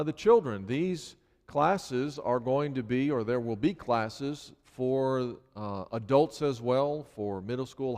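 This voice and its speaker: Animated male preacher in a reverberant church. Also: mid-range voice. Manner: animated, frequent repetitions and restarts. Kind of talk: sermon. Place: church sanctuary. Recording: long hall reverb.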